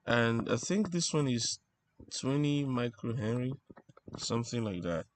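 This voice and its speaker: A man giving an informal technical lesson as he draws, explaining step by step in a halting way and thinking aloud. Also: medium pitch, expressive, no room sound. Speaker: instructor presenting an electronics tutorial. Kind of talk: informal technical lesson, talking while drawing.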